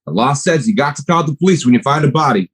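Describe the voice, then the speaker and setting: Man as a deadpan milkman sidekick, husky voice, lively pitch, radio play. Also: fast talker. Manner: dry, matter-of-fact. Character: plainspoken male milkman, part-time partner.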